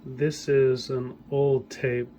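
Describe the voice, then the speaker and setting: A middle-aged man giving a casual hands-on demonstration of equipment he repairs, speaking off the cuff with hesitant pauses as he works. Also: medium pitch, level tone, dark tone, a little hiss or hum. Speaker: hobbyist audio equipment repairer demonstrating a tape deck.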